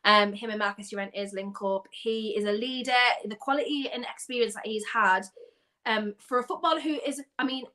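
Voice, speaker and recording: A young woman from North East England chatting casually about football as a guest, animated and quick to laugh. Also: on the high side. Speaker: guest fan.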